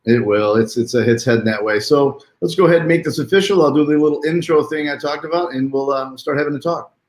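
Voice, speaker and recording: A man's voice: fairly high pitch, fast. A man hosting a live online interview in a chatty, welcoming, conversational way, with a roomy sound. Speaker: host.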